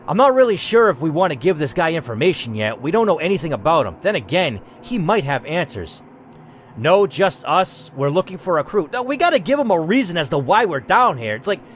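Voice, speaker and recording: A man's voice: fairly high pitch, fairly bright tone, slight background noise. A man hosting a gaming video, narrating a text adventure theatrically, with casual, blunt, sweary asides.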